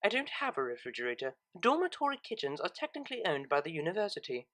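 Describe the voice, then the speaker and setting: A woman's voice: on the low side, big pitch swings, fast talker, a bit bright. A woman voicing a refined, naive young cellist pony in an audio story, with a proper, tentative manner. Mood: prim, deadpan. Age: young adult.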